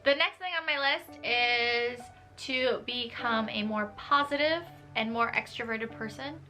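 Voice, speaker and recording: A woman's voice: a little high, unhurried, sharp tone, faint music behind. A young woman, talking casually to camera from her bedroom, often saying "like" and laughing lightly. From New York. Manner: chatty, uses "like" a lot, laughs lightly. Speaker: vlogger. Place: small bedroom with desk and tapestry, indoors.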